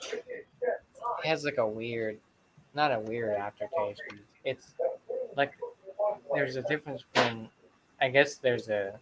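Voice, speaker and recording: A man's voice: mid-range voice, wildly swooping pitch, slow pace, pauses often, heavy background noise. A man hosting a casual beer livestream panel, talking hesitantly and repeating words as he thinks. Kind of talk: casual group chat. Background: other panelists talking over.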